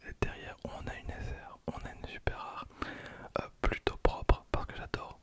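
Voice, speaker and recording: A man in his late twenties whispering ASMR commentary softly and unhurriedly, close to the microphone. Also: normal speed. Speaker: ASMR video creator opening trading cards. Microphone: close handheld stereo recorder.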